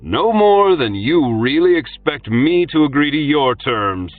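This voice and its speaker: A man's voice: mid-range voice, a little slow, no echo, far from the mic, phone-quality sound, soft background music, pitched above the performer's own voice, lightly acted. A man voicing a defiant young swordsman who cheerfully taunts his enemy.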